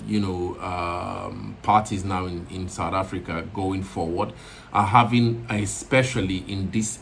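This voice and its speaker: A man's voice: sits low, a bit bright, a little hiss or hum. A man hosting a commentary channel, talking in a rambling way with many restarts, fillers and self-corrections. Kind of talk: video commentary monologue.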